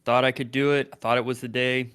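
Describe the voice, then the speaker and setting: A man's voice: medium pitch, flat delivery, fast, a bit bright, clear, resonant tone, dry recording, clean studio sound. A man co-hosting a casual podcast chat, easygoing and curious. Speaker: podcast co-host.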